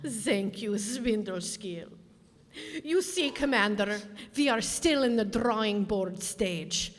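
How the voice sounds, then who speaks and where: An actress playing a scheming onion leader in a radio play, formal, condescending and falsely courteous. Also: female voice, mid-range voice, expressive, slow. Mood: courteous, coldly reasoning. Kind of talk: stage radio drama acting. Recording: reverberant hall, stage performance.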